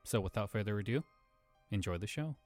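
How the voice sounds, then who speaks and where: A male podcast host with a fairly low voice delivers a scripted introduction. Quiet music plays underneath him. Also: lively intonation, fairly quick, no echo.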